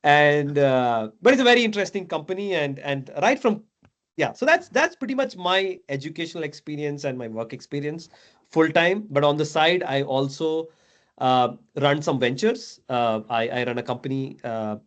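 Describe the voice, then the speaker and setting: A man's voice: fairly high pitch, expressive, moderate pace. A man in his thirties, raised in Dubai, talking casually as a mentor, chatty, with many fillers and tag questions. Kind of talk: casual video call chat.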